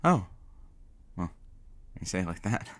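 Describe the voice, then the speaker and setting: An actor playing a skeptical but soft-hearted male radio colleague, bantering conversationally with dry teasing in an audio drama. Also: low-pitched, very animated, a little slow, heavily acted.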